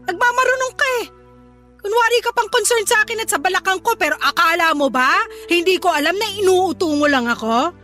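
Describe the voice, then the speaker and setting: A woman playing a sharp-tongued, sarcastic older mother in a Filipino radio drama, scolding her daughter's boyfriend with a Filipino accent. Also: very high voice, expressive, fairly quick, heavily acted. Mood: suspicious, nagging. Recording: music bed under the voice.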